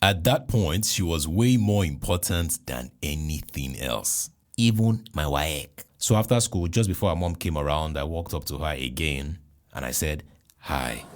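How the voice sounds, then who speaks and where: A man with a Nigerian accent, a podcast host telling a comic personal story in an animated, jokey way with exclamations. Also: rumbling low, normal speed.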